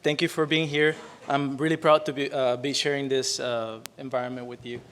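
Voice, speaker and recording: A man's voice: mid-range voice, little pitch movement, normal speed, a bit bright. A man in his forties from Puerto Rico with a Puerto Rican Spanish accent, a native Spanish speaker giving chatty opening remarks and often tagging his sentences with "right?". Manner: chatty, frequent "right?" tags, self-deprecating. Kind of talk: casual storytelling speech.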